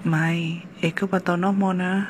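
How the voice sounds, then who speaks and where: A woman's voice: fairly low pitch, level tone, moderate pace, a little hiss or hum, close to an everyday voice. A woman voicing a chatty village woman in a homemade cartoon, talking in a plain, conversational way and recorded on a phone. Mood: hushed. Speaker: voice actor. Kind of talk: amateur cartoon voice acting. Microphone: phone microphone.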